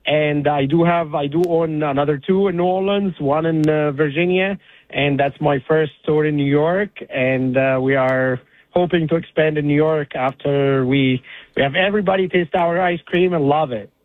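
A man from Egypt who owns an ice cream shop, speaking politely with many pauses over the phone as a caller. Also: fairly high pitch, dry recording. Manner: polite, hesitant with fillers. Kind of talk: phone interview. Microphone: phone line. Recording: tinny phone line.

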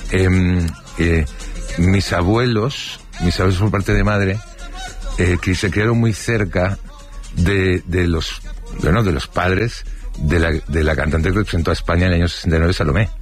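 A man's voice: low voice, normal speed, faint music behind. An older man from Spain with a Spanish accent, telling rambling childhood anecdotes in a casual interview, full of fillers and restarts.

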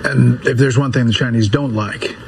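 A man's voice: mid-range voice, fairly even intonation, normal speed, a little hiss or hum. A man, asking questions as the host of a news interview.

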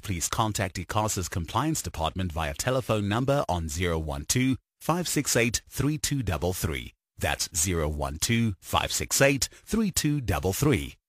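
A male announcer reads a formal legal disclaimer quickly and precisely. His low voice moves with lively inflection and sounds dry and close to the mic. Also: dry recording. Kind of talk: rapid legal disclaimer read.

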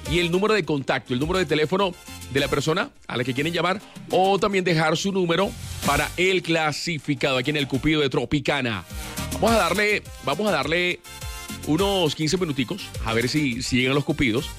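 A man hosting a late-night radio show, talking in an animated, upbeat way and now and then singing short lines. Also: a little high, brisk, soft background music. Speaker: radio host. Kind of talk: lively radio presenting. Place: radio studio.

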